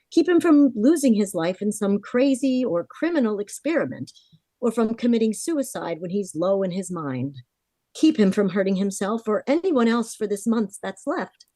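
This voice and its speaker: A woman's voice: a little high, dark tone, no echo. A woman playing a determined, earnest sister in a melodramatic 1940s-style radio drama. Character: desperate, determined young sister pleading for her brother.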